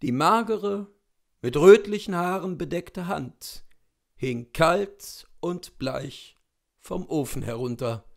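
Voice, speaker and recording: A man in his thirties reading a literary story expressively, with deliberate dramatic pauses. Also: a little high, animated, gravelly. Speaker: literary reader, narrator. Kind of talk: expressive literary audiobook narration. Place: indoors in front of bookshelves.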